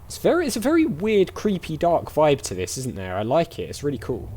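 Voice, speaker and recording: A man's voice: on the high side, huge pitch swings, brisk, mellow. A chatty man giving casual gameplay commentary as host, with game ambience behind him. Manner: chatty, reads game dialogue aloud. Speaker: gaming video host. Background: faint game ambience.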